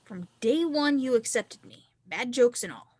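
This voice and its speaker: A woman's voice: on the high side, animated, a little slow, darkish tone. A woman playing a sarcastic, defiant young snowboarder with a tender side at a screenplay table read. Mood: tender, wry.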